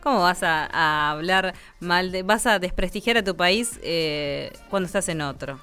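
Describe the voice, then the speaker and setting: A woman with an Argentine accent hosts a radio show in a fairly low voice. She talks with many pauses and fillers, and faint music sits behind her. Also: pushed and bright, clear, resonant tone. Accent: Argentine Spanish. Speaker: radio host. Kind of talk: radio segment chat.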